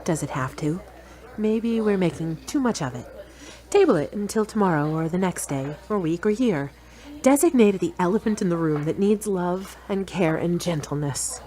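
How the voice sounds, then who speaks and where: Woman acting as self-assured girlfriend, radio-play dialogue, slightly low, articulate, measured, frank. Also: animated, dark tone, natural voice. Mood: conciliatory. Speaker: actor in an audio drama.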